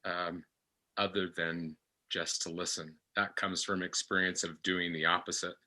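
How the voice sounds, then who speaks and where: A man reflecting as a guest in a discussion, halting and searching for his words, often repeating phrases. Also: sits low, flat delivery, sharp tone. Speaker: podcast guest.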